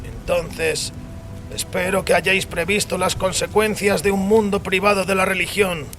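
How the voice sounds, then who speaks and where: A man's voice: high voice, flat delivery, moderate pace, fairly bright tone, faint music behind, voice pushed up. A man voicing an anguished, defiant priest in dramatized audio fiction, accusing and impassioned in formal phrasing. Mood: bitter challenge.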